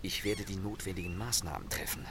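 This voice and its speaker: A man playing a suave, mocking gentleman thief in a German radio play, with a theatrical, commanding manner. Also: deep voice, fairly quick, noisy background. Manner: theatrical, urbane, playfully threatening.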